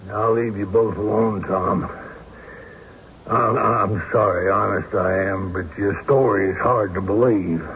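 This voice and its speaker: An actor playing a weary, folksy small-town sheriff in an old-time radio drama, plain-spoken and reluctant. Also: male voice, on the low side, steady tone, measured pace, fairly bright tone. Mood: firm, regretful. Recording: dull, lightly noisy radio recording.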